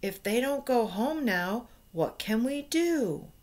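A woman voicing worried, excitable little monkeys in a children's storybook, exclaiming dramatically. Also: on the high side, takes it slowly, voice pushed up.

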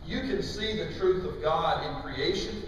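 Echoey male preacher in a large hall. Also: on the high side. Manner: emphatic, deliberate phrasing with pauses. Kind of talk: sermon. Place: large church auditorium, indoors. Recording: distant, very echoey hall with faint noise.

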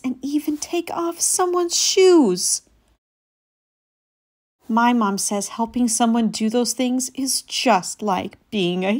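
A woman voicing an eager young male puppy in a storybook, narrating in an earnest, childlike, expressive way. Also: fairly high pitch, full character voice. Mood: proud, eager. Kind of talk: storybook read-aloud.

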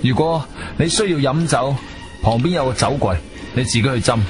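A man's voice: medium pitch, fairly quick, close to an everyday voice. An actor playing a composed, wronged adventurer who tells his own story in a radio drama, stern and dramatic. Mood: weary, stern. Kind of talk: first-person radio drama narration and dialogue. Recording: music behind the voice.

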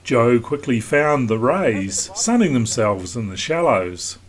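Male host, steady instructive voice-over, mid pitch, some background noise. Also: slow. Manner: calm, instructive. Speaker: sailing vlog host. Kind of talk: scripted voice-over narration. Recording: wind noise on deck.

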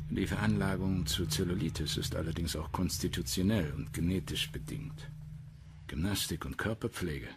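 An actor playing a formal, measured male doctor in a radio drama. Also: low voice. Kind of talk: German radio drama acting. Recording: loud background noise and ambience.

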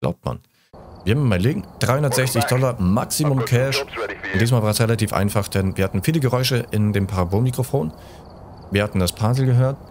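A man's voice: mid-range voice, fast, noisy background. A man in his thirties giving casual game commentary as a streamer, sprinkling in gamer slang and English phrases, close to a desk microphone. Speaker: let's play streamer. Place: indoors, seated close at a desk. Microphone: desk microphone at close range.

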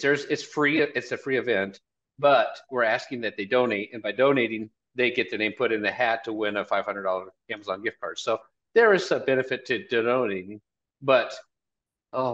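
A man's voice: mid-range voice, a bit bright. A man in his fifties speaking earnestly as a guest in a casual video-call interview, often repeating his phrases. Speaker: interview guest, college marketing director and event organizer. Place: small indoor office, video call. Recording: dull, lo-fi call audio.

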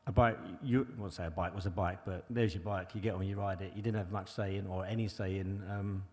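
A man's voice: sits low, steady tone, a little fast, a little mellow. A man who once raced, talking as a panel guest on stage, rambling with many filler phrases. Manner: rambling, many "you know" and restarts. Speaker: bike engineer and former pro racer. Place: large hall on stage before an audience. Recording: long hall reverb.

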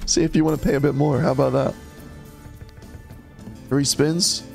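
A young man streaming an online slot game, chatting to viewers and breaking into loud yelps when it pays, though he is sick and losing his voice. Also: fairly high pitch, level tone, normal speed, vocal fry, soft background music. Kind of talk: live commentary over online slot play.

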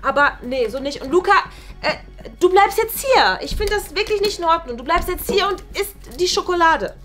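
A young woman playing an indignant, sulky roommate who feels slighted, talking in a halting, colloquial way in a web drama. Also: extremely high pitch, animated, a bit bright, soft background music. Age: young adult. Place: living room indoors.

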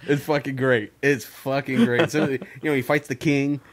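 A man's voice: medium pitch, fairly even intonation, normal speed, a crowd in the background. A man co-hosting a podcast in casual chat, rambling and swearing, often laughing. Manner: profane, rambling, laughs a lot. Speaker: podcast co-host.

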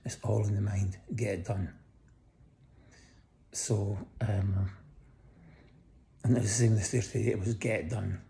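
A middle-aged man with a croaky voice, lying in a hospital bed and casually giving a personal update into a phone held close above him, tired and pausing often. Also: on the low side, little pitch movement, warm tone. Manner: lying in bed, tired, talking slowly with many pauses. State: croaky voice after breathing tubes. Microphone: phone held close above face.

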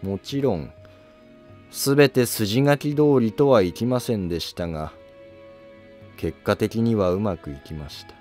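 A man playing a calculating, courteous court noble in a historical drama, polite, measured and self-assured. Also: lowish voice, darkish tone, faint music behind, natural voice. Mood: composed, resolute.

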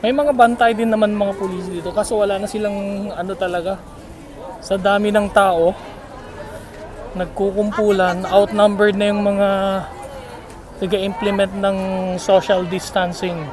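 A man's voice: high-pitched, level tone, a little slow, long pauses. A Filipino man talking casually while walking a crowded outdoor street market, rambling with many fillers, filmed on a phone held at arm's length. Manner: chatty, rambling with frequent fillers. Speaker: vlogger. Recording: outdoor phone video, loud crowd noise.

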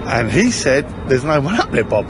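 A man's voice: mid-range voice, sing-song, normal speed, very noisy. A man playing a chatty warehouse supervisor with an English accent, telling a story in an insistent, colloquial way. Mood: earnest.

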